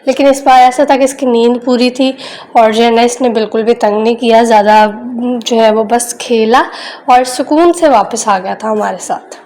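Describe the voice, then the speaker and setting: A woman's voice: on the high side, fairly even intonation, moderate pace, noisy background. Chatty young woman vlogging. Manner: chatty, homely, frequent filler phrases. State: sore throat. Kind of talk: casual vlog voice-over.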